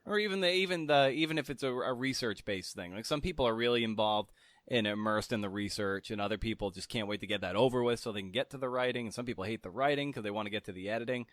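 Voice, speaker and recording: A man's voice: medium pitch, quick pace, fairly bright tone, no echo, studio quality. A man hosting a podcast in an informal, conversational way, often rephrasing as he goes. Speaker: podcast host. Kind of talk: conversational interview and podcast narration.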